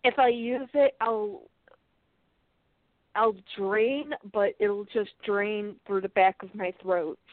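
A woman's voice: mid-range voice, fairly even intonation, no room sound. A woman with a stuffed-up nose chatting casually while reviewing beauty products and reading package text aloud, often restarting her sentences. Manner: chatty, halting restarts, light laughs. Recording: tinny phone-like line, dry.

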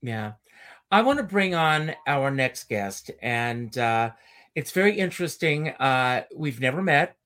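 A man's voice: medium pitch, animated, measured pace, bright, forceful tone. A man hosting a talk show, enthusiastically introducing and welcoming his guest. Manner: effusive, enthusiastic. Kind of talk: casual interview chat.

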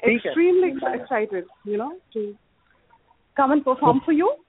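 A woman's voice: high-pitched, animated, normal speed, breathy edge. A woman, a singer answering interview questions by phone, eager but hesitant with fillers. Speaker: singer, guest. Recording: tinny phone line.

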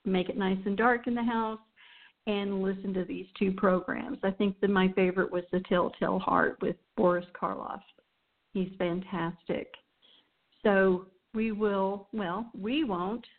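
A woman host chats informally at a medium pitch, wandering from point to point without a script. Her voice comes through a thin telephone-style line. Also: steady tone, a little slow, phone-quality sound. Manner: informal, rambling, chatty. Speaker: radio show host. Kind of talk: casual unscripted show sign-off.

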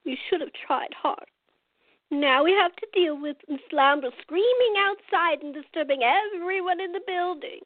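A woman's voice: very high-pitched, normal speed, no room sound, phone-quality sound. A woman playing a stubborn, fair-minded old woman, cantankerous yet composed, in a radio theater drama. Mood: regretful, irritated.

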